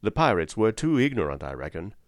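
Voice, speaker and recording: A man voicing a wily old one-legged pirate cook who talks in a folksy, rough sailor's way. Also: on the low side, very animated, a little fast, no room sound, clean studio sound. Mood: wheedling.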